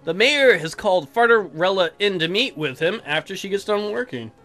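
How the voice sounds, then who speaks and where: A man's voice: sits high, lively intonation, moderate pace, sharp tone. A man doing casual, crude and sarcastic let's play commentary, swearing and reading game text aloud. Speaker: gaming video host. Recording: music behind the voice. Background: game music.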